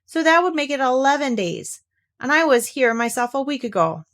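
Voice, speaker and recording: A woman acts out a busy male rabbit from a children's story. He is bossy, practical and matter-of-fact, quick to correct. The intonation is lively. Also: high voice, voice pushed up. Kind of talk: children's storybook read aloud.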